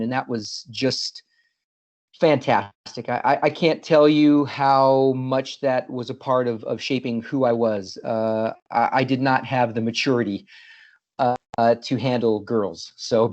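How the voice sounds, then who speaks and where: A man's voice: mid-range voice, a little mellow. Middle-aged man, easygoing guest talk with many restarts, muffled call audio. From California, USA. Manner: friendly, with many restarts and repeated words. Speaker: guest speaker. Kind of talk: casual presentation. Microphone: video call.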